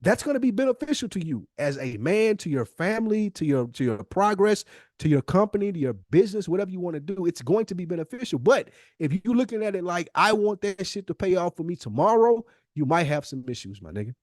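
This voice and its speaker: A man's voice: sits high, big pitch swings, quick pace, a little mellow, no room sound, clean studio sound. A middle-aged American man talking casually and motivationally as a podcast co-host, emphatic and repeating phrases to drive points home.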